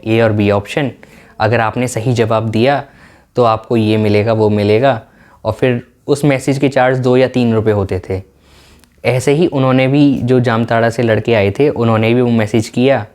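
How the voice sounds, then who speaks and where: A man's voice: medium pitch, a little mellow. A man narrating in an explanatory, documentary style.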